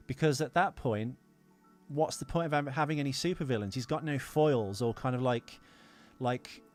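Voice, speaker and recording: A man co-hosting a podcast in casual, opinionated film chat, ranting emphatically and swearing freely. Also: mid-range voice. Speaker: podcast co-host.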